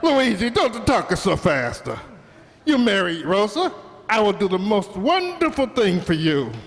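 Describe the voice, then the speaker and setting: A man's voice: sits high, very animated, a little slow, long reverb tail, heavily acted. A man playing a pushy, scheming Italian immigrant restaurant owner in an old-time radio comedy, exclaiming and wheedling in broken English with an Italian accent. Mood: wheedling, blustering.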